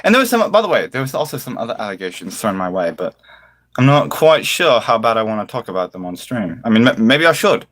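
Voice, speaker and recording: A man's voice: mid-range voice, very animated, fast talker, a bit bright. A man chatting casually to livestream viewers in an affected British-style accent, with mock-formal, flowery wording and a theatrical manner.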